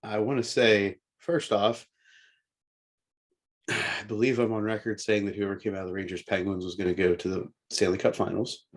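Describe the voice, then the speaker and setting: Casting: man, lower-than-average pitch, casual opinionated sports talker who works out his thoughts aloud. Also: flat delivery, moderate pace. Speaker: co-host of a sports talk show.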